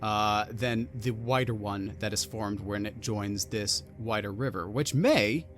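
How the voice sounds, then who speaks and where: A man working as game master, narrating a tabletop roleplaying game in a halting, deliberate way that leans on key words. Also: lowish voice, a bit bright. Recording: music behind the voice. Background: background music.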